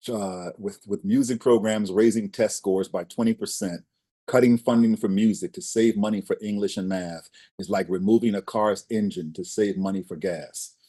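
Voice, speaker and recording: Low mellow-voiced man, expressive. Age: 40s. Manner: expressive storyteller, emphatic rises. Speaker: guest, spoken word poet. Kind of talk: casual interview.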